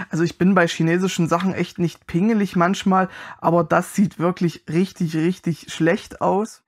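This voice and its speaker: A man giving casual, wry and critical commentary as he reviews an unboxing. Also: high voice.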